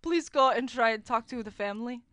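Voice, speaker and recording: A woman's voice: on the high side, a little fast, a bit bright, close to an everyday voice. A woman voicing a sorrowful, pleading prisoner in a put-on Russian accent for comedy. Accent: mock Russian.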